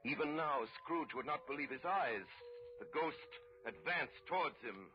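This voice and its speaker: A man narrating an old-time radio drama in measured, grave storytelling style. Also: a little high, animated, very noisy. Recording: muffled old radio broadcast, faint music.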